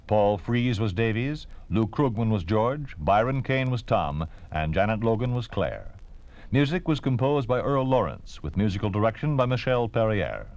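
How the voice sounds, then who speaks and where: Male American announcer, solemn scripted 1950s radio read, expressive intonation over music, band-limited vintage sound. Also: lowish voice, no room sound. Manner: solemn, formal. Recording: dull, band-limited old radio recording. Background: dramatic music.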